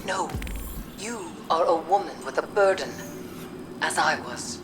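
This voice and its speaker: An actress playing a grave, wise witch who speaks in a solemn, cryptic, measured manner in a video game. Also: deep voice. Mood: firm, consoling. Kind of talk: dramatic video game acting. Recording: dramatic music behind the voice.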